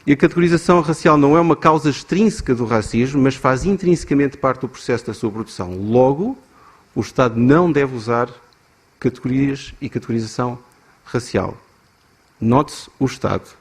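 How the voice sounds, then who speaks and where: Man, European Portuguese accent, mid-pitched voice, measured academic conference speaker. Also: slightly rough. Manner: argumentative, measured, some hesitations.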